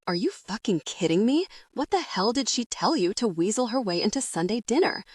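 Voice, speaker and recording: A woman's voice: fairly high pitch, lively intonation, a little fast, no echo, clean studio sound, pitched above the performer's own voice. A woman voicing a hot-tempered young male mob heir who talks bluntly and swears in an audiobook. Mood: furious. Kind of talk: audiobook character reading.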